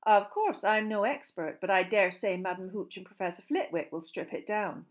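A woman's voice: medium pitch, moderate pace, natural voice. A woman voicing a stern, tart older witch teacher, with a clipped, dry delivery, in an audiobook reading. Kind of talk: audiobook character voice.

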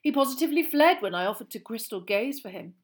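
A woman's voice: a little high, expressive, quick pace, pitched above the performer's own voice, natural voice. A woman voicing a theatrical, airy fortune-teller witch teacher in an audiobook reading. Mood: sharp. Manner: airy and grandiose. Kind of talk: audiobook character voice.

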